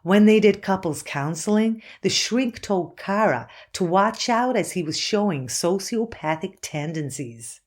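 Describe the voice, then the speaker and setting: A woman's voice: medium pitch, animated, measured pace, hardly any pauses. An actress playing a certain, accusing woman interviewed on a true-crime podcast, explaining herself in an assured, pointed way. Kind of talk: acted documentary interview.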